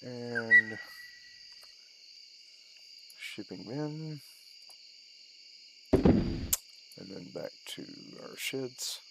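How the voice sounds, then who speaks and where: A man giving casual commentary on a game he is playing, muttering and thinking aloud in short fragments over loud noise and clicks. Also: medium pitch, drawn-out words, gravelly, long pauses. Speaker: gaming video host.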